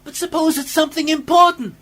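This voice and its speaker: A man acting as a curious, hesitant companion in a radio play, halting and full of questions. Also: very high voice, steady tone, a bit bright. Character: curious, hesitant male companion, puzzled by science.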